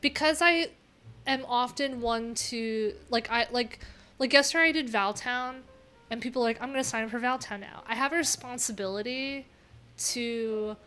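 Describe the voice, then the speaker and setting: A woman's voice: a little high, moderate pace, pushed and bright, clear, resonant tone. A middle-aged woman chatting casually on a livestream, candid and rambling as she thinks aloud. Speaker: livestream host, developer. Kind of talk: casual livestream chat while browsing. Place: small cluttered room indoors. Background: music.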